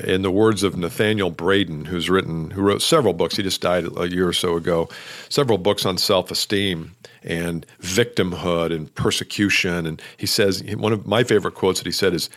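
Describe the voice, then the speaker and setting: A middle-aged man hosting a solo podcast, talking loudly and emphatically in a coaching tone. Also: fairly low pitch. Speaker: podcast host.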